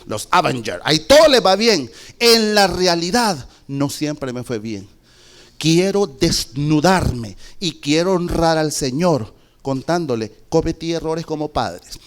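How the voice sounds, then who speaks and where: A middle-aged man with a Salvadoran accent preaching a testimony sermon in a church, slow and emphatic, with sudden shouted peaks. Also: fairly high pitch, animated. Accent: Salvadoran Spanish.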